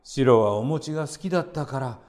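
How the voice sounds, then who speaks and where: A man voicing an honest, kindly old farmer in a gentle, tender, simple way for a storybook tale. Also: mid-range voice, fairly quick, in a large hall, lightly acted. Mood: wistful.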